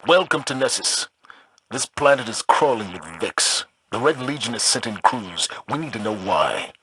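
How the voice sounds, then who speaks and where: A man's voice: medium pitch, animated, normal speed, fairly bright tone. A man playing a stern, dependable military commander in a video game, giving clipped mission briefings. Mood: stern, resolute. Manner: authoritative, clipped. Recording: game audio with clear background noise.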